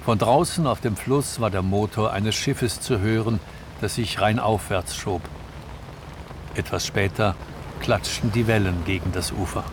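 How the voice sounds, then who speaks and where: A man's voice: on the low side, a little slow, noisy background. A man reading scripted third-person narration for a radio drama.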